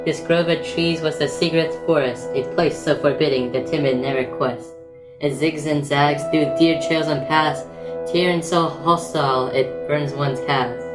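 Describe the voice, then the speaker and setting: A woman's voice: low-pitched, steady tone, unhurried, loud music behind the voice, low-quality recording. A woman playing the storybook narrator of a forbidding fantasy quest, reading scripted rhyme. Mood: ominous.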